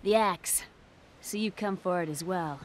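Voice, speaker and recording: A woman's voice: on the low side, a little slow, natural voice. Woman as an intense fantasy warrior, noisy backdrop. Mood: wary. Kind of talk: video game cutscene acting.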